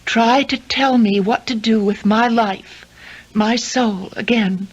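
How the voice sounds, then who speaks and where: Solemn young girl, husky and slow. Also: a little high, fairly even intonation. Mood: pleading, resolute. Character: earnest, resolute young American girl, brave, solemn. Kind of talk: 1970s radio drama acting. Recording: dull old radio tape.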